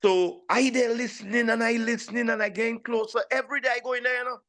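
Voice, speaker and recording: Man, sixties, St. Lucian accent, high sharp voice, animated interview guest, casual storytelling. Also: fast talker. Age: 60s. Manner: animated, repeats phrases for emphasis. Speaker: interview guest, steel pan player.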